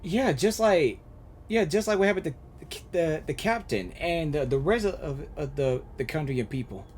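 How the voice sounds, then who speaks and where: Halting male streamer with lively pitch. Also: a little hiss or hum. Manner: halting, repeats phrases while thinking aloud. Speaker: gaming streamer reacting to a game story. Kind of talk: casual live reaction commentary.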